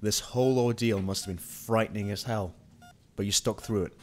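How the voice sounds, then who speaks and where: A man's voice: lowish voice, steady tone, moderate pace, darkish tone, lightly acted. A man playing a hard, vengeful police detective in an amateur radio play. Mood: subdued.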